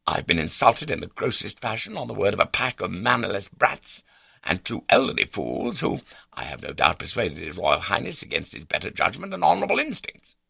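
A man's voice: lowish voice, big pitch swings, fairly quick, vocal fry, pushed and bright, husky, dry recording, studio quality, voice pushed up, exaggerated voice. A man voicing an arrogant, indignant upper-class baronet in an audiobook, with a blustering, sneering and haughty manner. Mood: haughty, vindictive outrage. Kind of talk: audiobook character dialogue.

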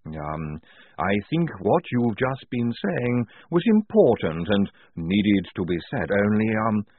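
Man voicing a timid, halting young woman. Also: fairly low pitch, very animated, slightly rough, exaggerated voice. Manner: hesitant, halting, deferential, full of ums. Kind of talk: audiobook character acting. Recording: tinny phone-like band.

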